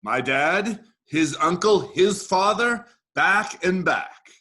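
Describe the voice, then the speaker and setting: Man playing a cocky, protective soldier action figure, animated pitch. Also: slow pace, heavily acted. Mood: bashful, sincere. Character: macho, protective male G.I. Joe soldier doll come alive. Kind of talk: staged play reading.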